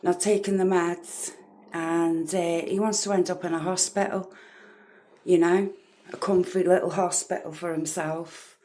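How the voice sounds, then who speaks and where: Female true crime commentator. Slightly low pitch, slow delivery, blunt and scornful. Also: darkish tone. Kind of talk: casual reaction commentary.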